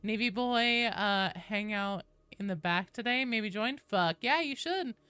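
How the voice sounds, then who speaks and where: A woman hosting a game livestream, chatting casually with viewers in an animated, informal, freely swearing way. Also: mid-range voice, measured pace, smooth, dry recording. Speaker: live streamer hosting a game stream.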